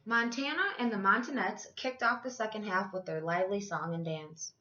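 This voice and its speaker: A woman reads a picture book aloud to children in the manner of a teacher. Her voice sits at an average pitch and she tells the story expressively. The recording is slightly dull and lo-fi. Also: moderate pace, slight background noise. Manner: animated, acts out dialogue. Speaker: teacher reading aloud to third graders. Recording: dull, lo-fi sound.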